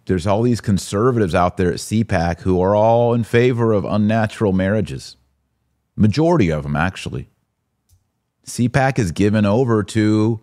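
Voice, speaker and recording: Deep-voiced, emphatic man hosting a podcast. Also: darkish tone. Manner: emphatic, rhetorical questions and asides. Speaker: podcast host. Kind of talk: opinionated live commentary, reading listener comments.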